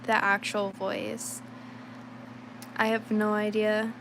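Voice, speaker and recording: A young woman with a slight rasp from being sick, chatting casually and thinking aloud while she draws on a livestream. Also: mid-range voice, little pitch movement, slow, noisy background. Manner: rambling, thinks aloud, many fillers. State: sick, a little raspy. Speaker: livestreaming digital artist. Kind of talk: casual chat while drawing on stream.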